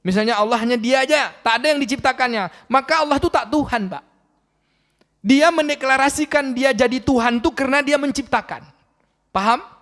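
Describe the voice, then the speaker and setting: Man in his forties, high voice, preaching, long reverb of a mosque hall. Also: a little fast. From Riau, Indonesia. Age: 40s. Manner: lively, questions the congregation directly. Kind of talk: mosque sermon.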